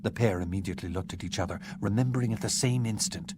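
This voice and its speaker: A man narrating an audiobook in a measured, even storytelling manner. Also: lowish voice, background noise. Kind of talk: scripted narration. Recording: narration with background music.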